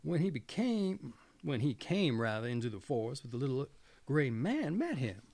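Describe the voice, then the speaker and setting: A man's voice: mid-range voice, big pitch swings, normal speed, a little mellow, no echo. A man reading fairy tales aloud as a storyteller, dramatic and folksy, sometimes correcting his own reading. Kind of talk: fairy tale narration, read aloud.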